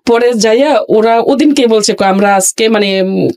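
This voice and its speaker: A Bangladeshi woman speaks in a slightly high voice as a guest on an interview show. She tells a personal story in colloquial speech. Her delivery is halting and circles back over phrases. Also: a little mellow. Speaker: guest telling own life story.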